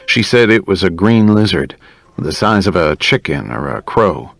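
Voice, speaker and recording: A man's voice: low voice, sing-song, moderate pace, creaky, a bit bright, no echo, heavily acted. Man playing an earnest, worried father. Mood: concerned.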